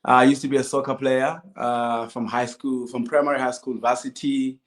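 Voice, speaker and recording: A man in his thirties from South Africa, with a local accent and an average-pitched voice, tells his story as a guest. He speaks casually in short sentences, acting out bits of remembered dialogue. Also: level tone, normal speed. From Limpopo, South Africa. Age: 30s. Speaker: podcast guest, amateur runner.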